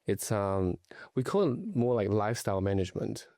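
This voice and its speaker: A man's voice: lowish voice, a little fast, warm tone, no echo, studio quality. A middle-aged man from Taiwan, a luxury travel advisor speaking as an interview guest in a casual, hesitant way, with many fillers and restarts.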